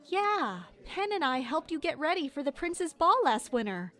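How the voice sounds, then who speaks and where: A woman acts a young noblewoman in an audio drama, soon to be married. The voice is on the high side. She is chatty and inquisitive, speaking with friendly candor. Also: very animated, normal speed, pushed and bright, heavily acted. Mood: excited, curious.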